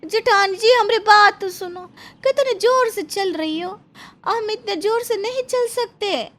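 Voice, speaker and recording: Very high-pitched naive village bride. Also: female voice, a little slow, a bit bright. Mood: pleading. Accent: rustic Hindi village dialect. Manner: simple-minded, earnest, exclaiming. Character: naive, cheerful village bride, rustic young sister-in-law. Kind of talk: animated Hindi family story acting.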